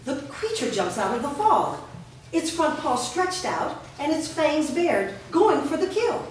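Casting: female stage-directions reader, lively intonation, scripted delivery, picked up by a distant mic. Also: mid-range voice. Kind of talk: scripted narration at a staged reading. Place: indoor performance room before a small audience. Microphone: far camera. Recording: echoey hall, distant mic, noisy.

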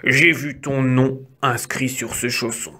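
A storyteller voicing a greedy, menacing male ogre wolf who draws out his threats slowly. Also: mid-range voice, steady tone, bright, forceful tone.

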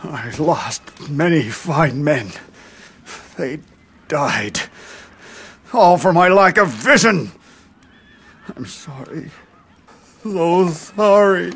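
An actor playing a solemn, enduring middle-aged samurai lord in a dramatic English game dub, speaking in a weighty, deliberate way. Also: male voice, fairly high pitch, expressive, a bit bright, a little hiss or hum, heavily acted. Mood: grieving, solemn. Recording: dramatic music behind the voice.

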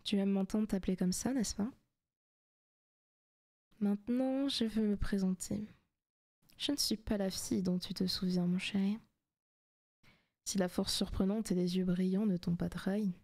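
Possessive female demon played by a woman, seductive ASMR roleplay: soft, intimate, teasing, frequent whispering. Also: mid-range voice, fairly even intonation, measured pace, long pauses. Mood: coaxing, tender.

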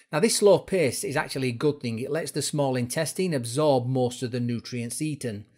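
A man narrating an educational explainer as an instructor, going through it step by step in informal words. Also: mid-range voice. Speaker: fitness instructor presenting a course.

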